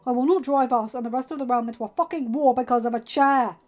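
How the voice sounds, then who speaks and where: A stern young father and lord from a fantasy audiobook, acted by a woman in a fairly high voice. The delivery is emphatic and exasperated, insisting on reason, with music behind it. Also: fast talker, natural voice. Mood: angry, firm. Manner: forceful, emphatic, pleading with reason.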